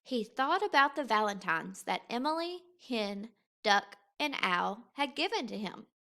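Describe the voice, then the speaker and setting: A woman reading a children's story aloud in an expressive, unhurried, deliberate way. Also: fairly high pitch. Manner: unhurried, expressive, deliberate phrasing for young listeners. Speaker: teacher reading a picture book aloud.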